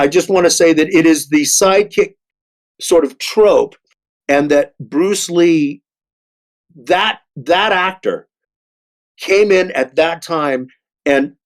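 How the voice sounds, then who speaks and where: A man in his sixties talks in an informal discussion at a slow pace. His voice sits a little high for a man, and he repeats words and stops and restarts as he presses his point. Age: 60s. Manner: halting, repeats words, emphatic. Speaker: panelist. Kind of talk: impassioned informal panel discussion.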